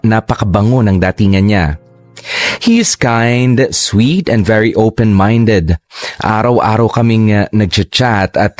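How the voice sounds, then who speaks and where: A man narrating a love story in the first person, in a gossipy, confiding way. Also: fairly low pitch.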